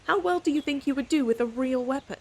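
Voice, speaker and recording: A woman's voice: high-pitched, fast, a little mellow. A woman playing a proud, stern lady knight in an audio roleplay, scolding her companion in an exasperated but secretly fond way. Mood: startled, annoyed. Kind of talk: audio roleplay acting, speaking to the listener.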